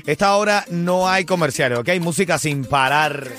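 A man with a Cuban Spanish accent, hosting a morning radio show with quick, upbeat announcer delivery. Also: on the high side. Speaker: radio host. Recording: radio show with music under the voice.

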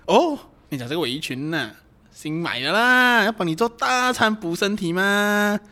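Bright, animated, doting Malaysian boyfriend played by a man. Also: high voice, normal speed. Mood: doting, playful. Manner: teasing, colloquial. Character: playful, doting boyfriend who loves cooking. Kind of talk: amateur audio drama acting.

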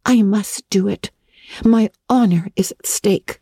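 A woman's voice: medium pitch, slow pace, warm tone, breathy edge, no echo, studio quality, pitched above the performer's own voice. A woman voicing a dramatic, imaginative young girl who talks in a solemn, theatrical way.

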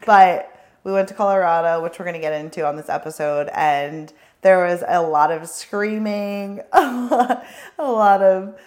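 Hoarse lady podcast cohost, kinda low voice, rambly and laughing a lot. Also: measured pace. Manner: laughs often, rambling and self-correcting. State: hoarse, losing her voice. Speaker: podcast co-host. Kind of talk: casual chat.

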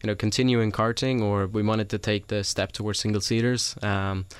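Low smooth-voiced young man from Sweden. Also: fairly quick, no room sound. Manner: relaxed storytelling with frequent ums and laughs. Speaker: racing driver guest. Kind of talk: casual interview chat. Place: studio.

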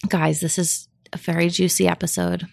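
A woman's voice: on the low side, level tone, normal speed, vocal fry, no room sound, clean studio sound. A woman co-hosting a podcast, chatting in an upbeat, enthusiastic way and reading sponsor spots. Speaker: podcast co-host. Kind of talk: casual chat and ad read.

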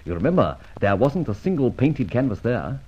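Debonair radio serial gentleman thief, very lively delivery, theatrical, muffled old recording. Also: mid-range voice, moderate pace, husky. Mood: teasing, gleeful. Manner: debonair, amused, theatrical phrasing. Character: suave, enigmatic gentleman thief and adventurer.

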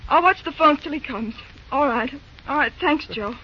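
A fretful young railroad office woman in an old radio drama. Her voice is very high and bright, full of anxious, caring exclamations. The recording is dull and lo-fi. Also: lively intonation, moderate pace. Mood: fretful, urgent.

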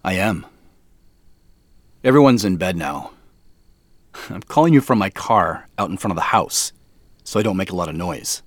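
A man's voice: low voice, expressive, moderate pace, pauses often, dry recording. A man acting as a guy on a family quest in an audio drama, in natural, conversational, slightly hesitant dialogue. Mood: casual, wry. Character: Joseph, adult man on a mysterious family quest.

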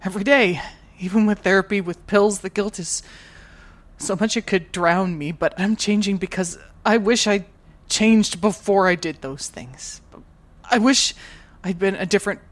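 A woman acting in an audio drama as a guilt-ridden, determined, tech-savvy moonbase worker, speaking in a halting, earnest way. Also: mid-range voice, lightly acted. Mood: guilty, confessional.

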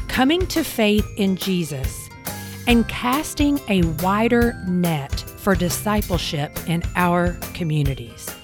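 A woman hosting a faith podcast, reading her intro and then interviewing her guest in a conversational way. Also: lowish voice. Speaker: podcast host.

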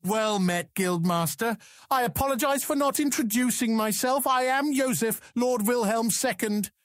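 High-voiced, courtly middle-aged lord. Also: male voice, moderate pace, dry recording, studio quality. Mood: courteous, chatty. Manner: formal, gracious, commanding. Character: courteous, authoritative middle-aged male lord's official. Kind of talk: audiobook drama acting.

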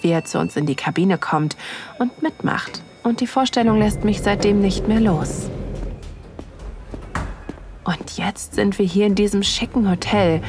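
Woman playing an excited wife, intimate and breathy. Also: mid-range voice, lightly acted. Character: excited, aroused wife narrating her own erotic adventure. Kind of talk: intimate first-person audio drama narration.

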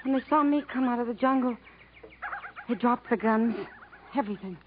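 A woman's voice: a little high, normal speed, natural voice. An actress playing a shaken but brave woman stranded in the jungle, earnest and plaintive in 1950s radio acting style. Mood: shaken, subdued. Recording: narrow, faintly noisy vintage radio recording.

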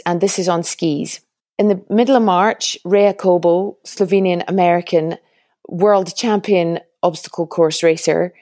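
A woman's voice: on the low side, a little mellow, no room sound, studio quality. A woman hosting a podcast, chatty and upbeat, introducing an episode and then interviewing guests. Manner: upbeat, chatty, laughs often. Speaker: podcast host.

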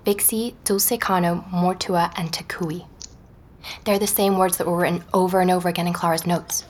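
An actress as a curious woman podcaster with a slightly low voice. She is persistent and thoughtful, and talks conversationally, like someone telling her own investigation in an audio drama. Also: fairly even intonation, normal speed, close to an everyday voice. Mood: matter-of-fact, determined. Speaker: podcaster investigating an artist, narrator. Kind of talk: audio drama acting, first-person narration and dialogue.

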